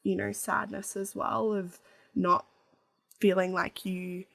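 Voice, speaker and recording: Slow, gravelly-voiced woman podcast host. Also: medium pitch, little pitch movement, a bit bright, dry recording. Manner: candid, personal, slow and measured. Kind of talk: podcast conversation.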